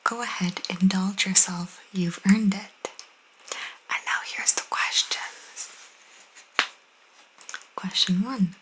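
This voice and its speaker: Whispering woman, soft ASMR host. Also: medium pitch, steady tone, slow, long pauses, poor audio. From Italian cultural background. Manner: soft, intimate, chatty asides. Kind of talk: whispered ASMR, reading and chatty rambling.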